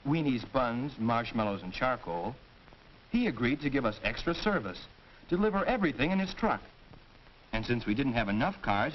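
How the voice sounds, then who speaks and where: Earnest teen schoolboy male actor, 1940s classroom film, emphatic and animated, dull old soundtrack. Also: medium pitch, slight background noise, lightly acted. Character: earnest teenage high-school boy explaining his point. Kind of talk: 1940s educational film acting, scripted discussion.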